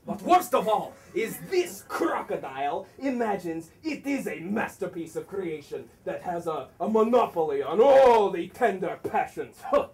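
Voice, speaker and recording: A man's voice: sits high, very animated, measured pace, hardly any pauses, darkish tone. A male actor playing a blustering, mocking, hot-tempered boor in a comic stage play, declaiming with theatrical sarcasm. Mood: scornful. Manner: declamatory, sarcastic, exaggerated, swinging from bellows to murmurs. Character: blustering, boorish, misogynist middle-aged man, mocking and hot-tempered. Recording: distant mic on a theatre stage.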